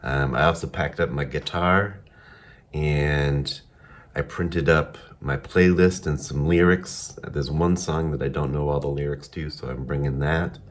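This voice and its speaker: A man from Massachusetts with a Boston accent, talking to camera in a casual video diary, halting and candid with many pauses and ums. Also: rumbling low, steady tone, a little hiss or hum. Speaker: vlogger.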